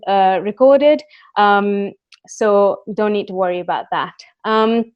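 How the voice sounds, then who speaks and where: A woman hosting a casual webinar chat as a volunteer, welcoming in manner and pausing often with ums and restarts, on a computer mic over a video call. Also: mid-range voice, darkish tone. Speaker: host and volunteer. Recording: dull lo-fi webinar audio.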